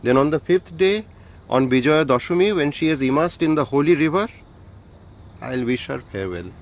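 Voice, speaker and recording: An elderly, devout craftsman in a radio play, with an Indian accent. His voice sits fairly high, and he speaks humbly and earnestly, with weary deference. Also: animated, moderate pace. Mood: wistful, weary. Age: older. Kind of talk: radio drama acting.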